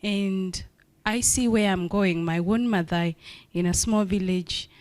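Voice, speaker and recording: Woman from Kenya, 40s, slow and earnest, dry close sound. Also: mid-range voice, darkish tone, no echo. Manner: earnest, encouraging. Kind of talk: forum remarks.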